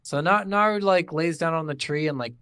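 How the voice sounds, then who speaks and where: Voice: medium pitch, fairly quick, fairly bright tone, smooth, no room sound, clean studio sound. A podcast co-host chatting casually about a video game, swearing freely and acting out reactions in mock dialogue. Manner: profane, blunt, informal. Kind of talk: casual chat recapping a game.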